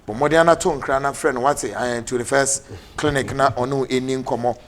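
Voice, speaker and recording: A man with a Ghanaian English accent hosting a TV talk show, mixing English and Twi in brisk announcements. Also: mid-range voice, fairly even intonation, over a noisy crowd. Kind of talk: TV political talk show, closing with ads. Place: TV studio.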